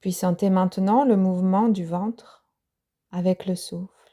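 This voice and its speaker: A woman yoga teacher guiding a yoga nidra relaxation, speaking softly with breathy, half-whispered phrases. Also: mid-range voice, fairly even intonation. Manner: slow, soft-spoken guidance with breathy, half-whispered phrases.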